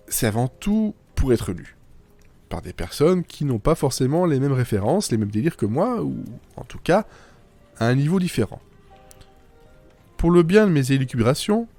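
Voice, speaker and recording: A man's voice: mid-range voice, darkish tone. Whimsical man narrating over music. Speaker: writer narrating own fiction podcast. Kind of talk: scripted first-person narration, monologue.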